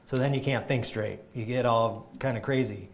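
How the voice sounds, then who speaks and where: A man, a chiropractor, giving an energetic live health talk to a group, emphatic and often restarting his sentences. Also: medium pitch, moderate pace.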